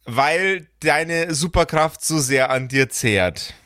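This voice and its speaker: A man's voice: a little high, slow pace, bright, forceful tone, dry recording, clean studio sound. A man running a tabletop game podcast as game master, sardonic, mock-exasperated and sweary in casual talk.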